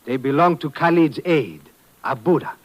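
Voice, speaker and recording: A man's voice: fairly high pitch, animated, normal speed, muffled recording, heavy background noise, close to an everyday voice. An actor playing a formal, precise male police lieutenant in a 1950s radio drama. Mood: formal, deliberate. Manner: precise, clipped, no contractions.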